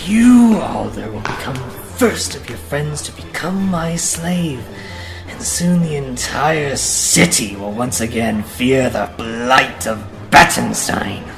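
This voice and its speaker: A man's voice: medium pitch, animated, slow, gravelly, music in the background. A man playing a taunting, theatrical villain, a vampiric false priest, in a fantasy audio drama. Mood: gloating menace. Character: gloating vampiric villain disguised as a priest, scheming.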